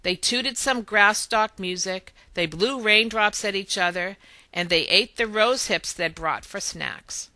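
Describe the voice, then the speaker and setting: A woman reading a children's story aloud in expressive narration. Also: medium pitch, measured pace, no echo. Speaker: storybook reader.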